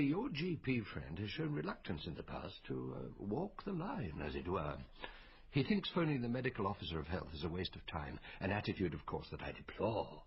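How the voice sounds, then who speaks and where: An actor playing a wry, urbane medical officer of health in a radio drama, with a husky voice and a measured, donnish, gently teasing manner. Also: male voice, mid-range voice, heavily acted. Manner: droll, measured, donnish, given to quoting poetry. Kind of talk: BBC radio drama acting. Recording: dull, muffled radio recording.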